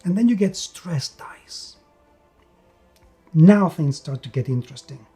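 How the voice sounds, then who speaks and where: A man giving a conversational tabletop game review, emphatic, with repetition and dramatic pauses. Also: fairly high pitch, animated, dark tone. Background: background music.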